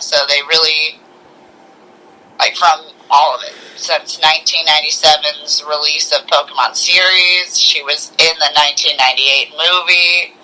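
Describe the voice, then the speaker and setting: Very bright-voiced woman chatting excitedly. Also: sits low, low-quality recording. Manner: excitable, informal fan talk. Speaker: podcast co-host. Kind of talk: casual chat.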